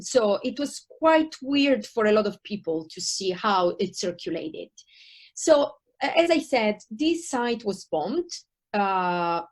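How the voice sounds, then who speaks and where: A woman in her thirties from Italy, speaking with an Italian accent as she gives a university taster lecture online. Her delivery is hesitant, full of ums, uhs and restarts, at a middling pitch.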